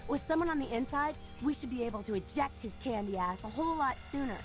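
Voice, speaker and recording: A woman's voice: high voice, animated, fast talker. A woman playing a tough, sarcastic anarch vampire in a video game, with blunt, mocking slang. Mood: mocking, conspiratorial. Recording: loud music under the voice.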